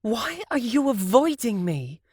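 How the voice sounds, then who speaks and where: A man voicing a brash, pushy young woman who talks loudly and cheekily. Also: very high-pitched, big pitch swings, a little slow, husky, no echo, studio quality, pitched above the performer's own voice, heavily acted. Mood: cheeky. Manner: loud, chasing, cheeky.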